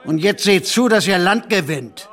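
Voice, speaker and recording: A man's voice: high-pitched, lively intonation, normal speed, a bit bright, slight background noise. A man acting a menacing, officious military policeman in a radio play, speaking in a clipped, threatening official manner.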